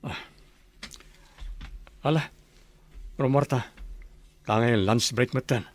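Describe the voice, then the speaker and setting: A man's voice: mid-range voice, slow, natural voice. An actor playing a considerate, easygoing young male office worker in a radio drama. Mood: wary, questioning. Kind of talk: Ilocano radio drama acting.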